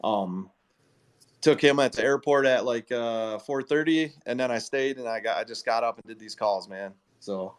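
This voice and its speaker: A man's voice: mid-range voice, moderate pace, smooth. A man hosting a live group call, chatting informally and with enthusiasm. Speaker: host of live investor audio calls. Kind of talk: casual chat in a live group audio call.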